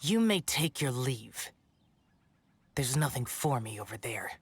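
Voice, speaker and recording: A man's voice: mid-range voice, sing-song, a little slow, a little hiss or hum. A man playing an aloof, brooding young immortal guardian warrior in a video game, speaking in a solemn, formal and disdainful manner.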